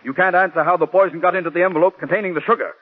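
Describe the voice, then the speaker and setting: An actor playing a bitter, relentless district attorney in a 1940s radio drama, speaking in a formal, accusatory manner. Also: male voice, sits high, steady tone, racing, slightly rough. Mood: accusing, pressing. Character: relentless, bitter male district attorney cross-examining in court. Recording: muffled 1940s radio broadcast recording.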